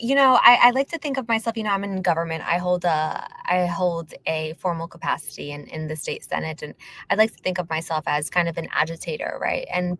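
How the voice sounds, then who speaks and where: Woman, fairly low voice, young adult, earnest emphatic storytelling, podcast guest, lots of fillers. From born in Palestine, raised in North Philadelphia. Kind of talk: podcast interview.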